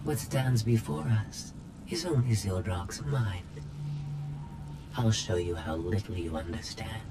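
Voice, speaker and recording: An actor playing a vengeful droid mastermind in a video game, speaking in a cold, measured, imperious way. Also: male voice, fairly low pitch, very noisy. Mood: menacing, triumphant. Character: vengeful, disdainful male droid mastermind scorning organics.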